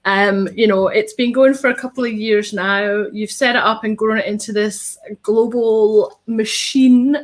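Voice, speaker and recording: A woman's voice: mid-range voice, fairly even intonation, a little slow, hardly any pauses. A woman in her thirties hosting a live online show from home over a webcam, bubbly and upbeat with many ums. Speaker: host. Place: home studio with tinsel backdrop.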